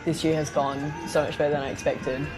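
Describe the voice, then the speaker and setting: A woman's voice: low voice, level tone, fairly quick, poor audio. A young woman with an Australian accent, a basketball player talking casually about herself in an interview. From born in London, raised in Singapore and Australia. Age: young adult. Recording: music behind the voice. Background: music.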